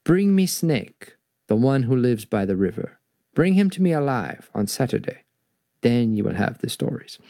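A man's voice: mid-range voice, sing-song, moderate pace, creaky, warm tone, no room sound, studio quality. A man voicing a big, strong storybook tiger who is imperious, sly and condescending. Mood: commanding.

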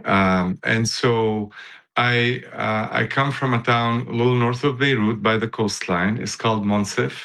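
A man's voice: mid-range voice, steady tone, measured pace, fairly bright tone. A man in his fifties from Lebanon, talking in an interview as a guest, with many fillers and restarts. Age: 50s. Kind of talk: casual interview talk.

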